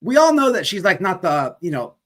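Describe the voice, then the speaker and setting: Animated, rambling man hosting a livestream. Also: high-pitched, fairly quick. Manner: profane, repetitive, rambling. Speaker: livestream host and commentator. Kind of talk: casual mocking livestream commentary.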